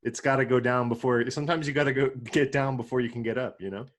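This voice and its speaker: A man with an average-pitched voice chats as a podcast co-host. He drops jokes in a casual, deadpan way. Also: fast talker, a little hiss or hum. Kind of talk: casual banter.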